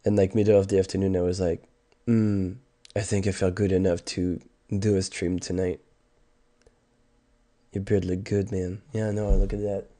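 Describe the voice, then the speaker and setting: A man's voice: deep voice, little pitch movement, moderate pace, mellow. A Canadian man in his thirties, sick with a stuffed nose and sore throat, chatting casually and rambling on a livestream. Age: 30s. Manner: rambling and hesitant, many ums and restarts. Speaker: music streamer.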